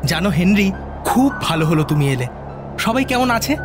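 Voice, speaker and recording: A man playing a nervous, haunted young scientist in an audio drama, agitated and high-strung. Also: very animated, moderate pace, music in the background. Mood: nervous rambling.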